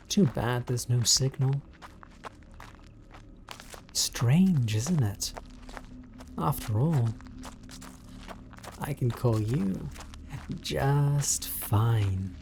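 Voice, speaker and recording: Man acting as obsessive spurned ex, British accent, slow deliberate delivery, coldly taunting, bitter, horror audio drama, faint background ambience. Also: medium pitch, a little mellow, slight background noise, rough recording. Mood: menacing, mocking. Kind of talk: scripted horror audio roleplay monologue.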